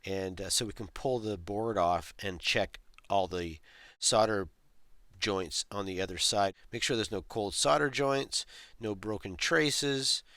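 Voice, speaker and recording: A man's voice: fairly low pitch, measured pace, fairly bright tone, no room sound, studio quality. A man hosting a hands-on repair tutorial, casually explaining each step as he works. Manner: explains step by step while working. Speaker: host of a toy restoration tutorial.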